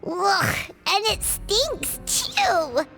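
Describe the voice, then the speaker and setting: A tiny, childlike fairy sidekick from an anime game, extremely high and squeaky. She is excitable and blunt, swinging all over the place in pitch, with loud game music behind her. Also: very slow, heavily acted. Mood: disgusted. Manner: exclaiming, speaks of self in third person. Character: small childlike floating fairy girl companion, excitable, blunt. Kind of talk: anime video game voice acting. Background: video game music.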